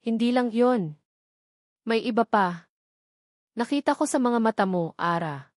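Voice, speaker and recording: A woman voicing a worried wealthy man who speaks softly but directly in a storybook reading. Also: a little high, animated, measured pace, no room sound, studio quality. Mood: gentle.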